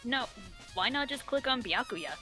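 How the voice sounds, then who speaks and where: A woman's voice: sits high, huge pitch swings, normal speed, music in the background. A woman in casual gaming chat, close on the microphone.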